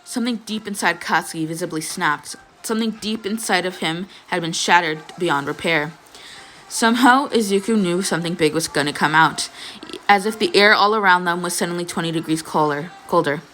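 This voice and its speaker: Young woman narrating, slightly low voice. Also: a little fast, bright, forceful tone, slight background noise, low-quality recording. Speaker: fan fiction narrator. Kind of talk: expressive scripted story narration, casual asides.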